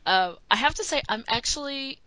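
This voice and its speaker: A woman from Texas with a mild Texan accent, co-hosting a casual podcast, chatty and quick to laugh. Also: high-pitched, no echo. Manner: chatty, laughs often, animated asides. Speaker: podcast co-host.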